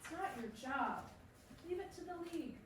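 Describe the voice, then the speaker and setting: Lady playing a firm soothing wife in a play, high voice, super echoey room. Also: expressive, normal speed, noisy background. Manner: coaxing, reassuring. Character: caring, firm wife of a ballplayer. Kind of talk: staged play reading. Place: performance room, recorded from a distance. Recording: very echoey room, distant mic.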